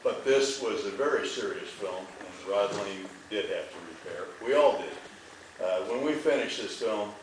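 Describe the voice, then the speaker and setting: A man's voice: on the low side, animated, a little slow. A man from a film's cast making folksy, rambling, unscripted remarks to an audience. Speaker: film cast member in an audience Q&A. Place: movie theater stage Q&A. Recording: echoey theater, distant mic, faint noise.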